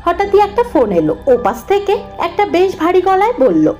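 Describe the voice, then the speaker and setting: A woman narrating a scripted horror cartoon story in the first person, dramatic and expressive. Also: very high voice, normal speed, soft background music.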